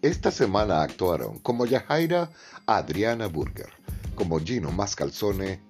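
Radio credits announcer, male: low voice, deliberate pace, expressive intonation, faint music.

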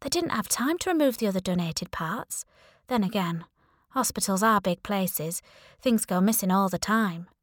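A woman's voice: high voice, animated, a little fast, no room sound, studio quality, pitched above the performer's own voice. Woman acting a soothing, confiding hospital worker. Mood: conspiratorial. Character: sympathetic female hospital worker, gently gossipy.

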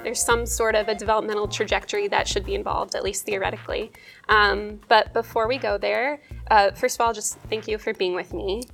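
A woman hosting a podcast, introducing her guest and warmly asking him about his background. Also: medium pitch, steady tone, brisk, vocal fry. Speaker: podcast host. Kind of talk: interview introduction and questions. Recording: music under the intro.

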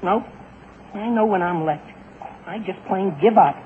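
High, exasperated teen boy, boyish slang, old radio sitcom, hissy narrow-band sound. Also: measured pace. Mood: defeated. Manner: whiny, flustered, exclaiming in boyish slang. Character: exasperated, fretful teenage boy, anxious about being late. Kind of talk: 1940s radio comedy acting. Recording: scratchy, tinny 1940s radio broadcast, noisy.

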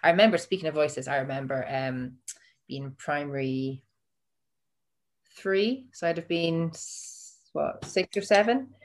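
A woman with a deep voice, a podcast guest chatting casually in an easygoing, slightly self-conscious way. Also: lively intonation, a little slow. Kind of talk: casual chat.

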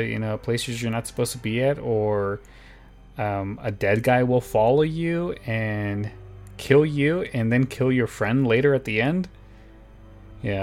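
A man's voice: medium pitch, a little mellow. Casual male podcast host over faint music. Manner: laid-back, informal, rambling. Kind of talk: casual chat to listeners. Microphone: close podcast microphone, wearing headphones.

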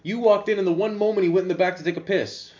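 A man's voice: high-pitched, steady tone, fast, a little mellow. A young man hosting a casual podcast, rambling with many restarts and casual swearing. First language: English. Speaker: podcast host. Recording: slightly dull lo-fi sound.

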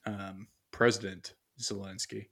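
Low-voiced man musing in rambling chat. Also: level tone, measured pace, fairly bright tone. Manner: rambling, hesitant with many fillers and restarts; muses aloud while reading from notes. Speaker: podcast co-host. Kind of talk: casual podcast chat.

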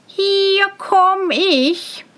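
Lady doing a silly young fairytale lad, really high voice, slow and brash, totally carefree. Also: animated, fairly bright tone, heavily acted. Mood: gleeful. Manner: exclaiming, carefree and naive. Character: cheerful, brash young simpleton brother. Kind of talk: fairy tale storybook reading.

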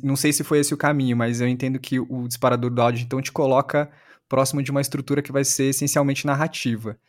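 A man's voice: medium pitch, level tone, fast. A Brazilian man interviewing on a podcast in a conversational way, thoughtfully building long questions step by step.

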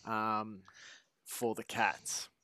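A man's voice: fairly low pitch, little pitch movement, drawn-out words, bright, forceful tone. An Australian man playfully hosting a casual quiz among friends, drawing out his announcements. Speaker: podcast quizmaster.

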